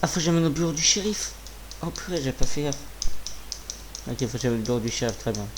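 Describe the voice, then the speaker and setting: A man with a mid-pitched, slightly hoarse voice commentates casually over his own gaming, talking to himself in loose, slangy speech with swearing. Clear background noise and keyboard clicking sit behind his voice. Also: expressive, fast. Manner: informal, muttering to himself, swearing. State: sore throat with a cough. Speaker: gaming video creator. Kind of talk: casual live gameplay commentary.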